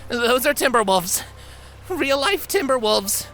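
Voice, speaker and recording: A man playing a frightened little pony filly with a halting, wary manner in a storybook reading. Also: very high-pitched, sing-song, bright, forceful tone, slight background noise, voice pushed up, full character voice, rough recording. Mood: fearful. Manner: fearful, halting.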